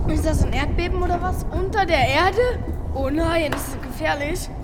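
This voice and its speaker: A female performer playing an excitable, cheeky eleven-year-old child who exclaims indignantly in an audio play. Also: high-pitched, normal speed, noisy background, lightly acted. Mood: alarmed. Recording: long cave-like reverb.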